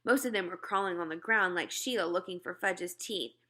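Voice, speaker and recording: A woman narrating a children's novel as an animated read-aloud. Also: on the low side, a little fast. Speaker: storybook reader.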